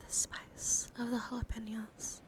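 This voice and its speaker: A woman livestreamer giving a guided ASMR relaxation in a gentle, soothing, encouraging manner. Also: on the high side, level tone, measured pace, low-quality recording. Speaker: livestream host.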